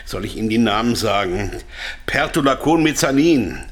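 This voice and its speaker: A man acting a flustered pharmaceutical salesman in a radio drama. His mid-range voice jumps about in lively swings, defensive and indignant, trying to stay businesslike. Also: medium pitch, normal speed, lightly acted.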